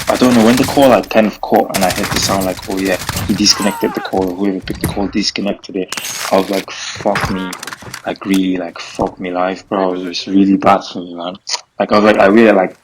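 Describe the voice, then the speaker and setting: A man's voice: low voice, noisy background. A young man casually telling a story, rambling and filling it with "bro" and "like". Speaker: gaming vlogger.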